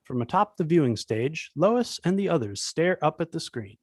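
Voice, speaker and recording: A man narrates a screenplay, reading its stage directions. His voice is a touch high, and the recording is close and dry. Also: big pitch swings, normal speed, darkish tone, dry recording.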